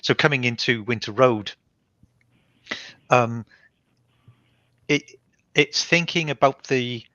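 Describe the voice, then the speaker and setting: A man's voice: mid-range voice, slow, long pauses, sharp tone, dry recording, lo-fi sound. A man with a Welsh accent from Barry in South Wales, an author explaining his choices in a reflective, restarting way over online call audio. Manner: reflective, with frequent restarts and ums. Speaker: author being interviewed. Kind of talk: thoughtful conversational explanation.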